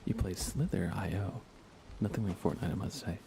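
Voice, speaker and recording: A man chatting casually in a soft ASMR gaming-stream voice, often dropping toward a whisper. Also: moderate pace, a little hiss or hum. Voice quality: creaky, low. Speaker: livestreaming gamer.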